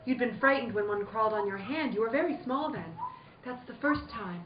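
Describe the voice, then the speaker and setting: A woman playing a fearful, pleading dream self in a radio drama, halting and repeating herself in dread. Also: fairly high pitch, lightly acted. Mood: afraid, mournful. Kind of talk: amateur radio drama acting. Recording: echoey, dull, faintly noisy room recording.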